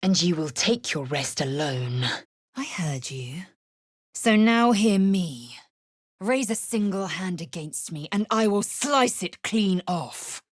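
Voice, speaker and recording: A woman voicing a fierce, proud githyanki warrior in a video game, imperious and clipped in manner, with a husky, breathy voice. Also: on the low side, expressive, slow, no room sound, studio quality, exaggerated voice. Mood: commanding.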